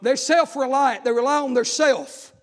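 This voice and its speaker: A man's voice: very high-pitched, moderate pace. A man preaching a fervent evangelical sermon, exhorting the congregation with repeated phrases and rhetorical questions.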